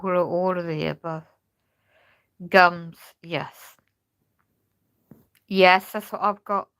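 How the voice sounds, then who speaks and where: A woman chatting casually on a livestream, talking in a halting, rambling way while she loses her voice. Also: lowish voice, fairly bright tone. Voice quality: gravelly. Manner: rambling, halting speech with many restarts. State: losing her voice. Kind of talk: casual livestream chat with viewers.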